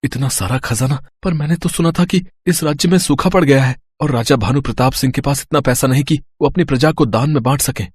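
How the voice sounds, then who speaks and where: A man's voice: medium pitch, brisk, hardly any pauses, breathy edge, dry recording, close to an everyday voice. An actor playing a poor, honest, simple young man who pleads earnestly, in a cartoon moral story. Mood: puzzled wonder. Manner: earnest, pleading, talks aloud to himself.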